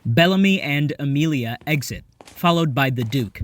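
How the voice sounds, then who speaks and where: A man's voice: a little high, lively intonation, moderate pace. A man reading stage directions as the narrator of an audio play, in scripted narration.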